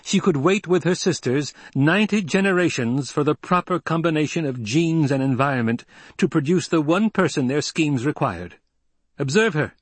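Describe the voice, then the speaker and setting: A man voicing a defiant, coldly angry young heir in a forceful, imperious, declamatory way. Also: medium pitch, lively intonation, moderate pace, no room sound, pitched above the performer's own voice, natural voice. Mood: commanding fury.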